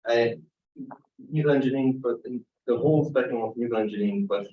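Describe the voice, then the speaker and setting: A man's voice: medium pitch, fast talker, reverberant. Hesitant young man student, tinny and distant. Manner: hesitant, searching for words.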